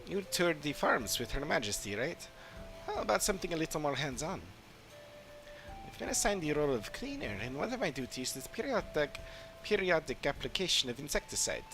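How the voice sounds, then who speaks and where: A man's voice: on the high side, very animated, fairly quick, over music, voice pushed up, poor audio. A man voicing a friendly farm cleaner from a video game, perky and instructive. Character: friendly farm cleaner handing out a pest-spraying task. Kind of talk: game dialogue read aloud in character.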